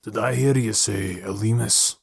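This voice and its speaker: Burly defiant man for an audiobook, gruff, grave, full of contempt, played by a male narrator. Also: medium pitch, normal speed. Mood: grave, contemptuous. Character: big gruff ginger-haired man, fierce, defiant, soft-hearted. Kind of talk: audiobook character voice.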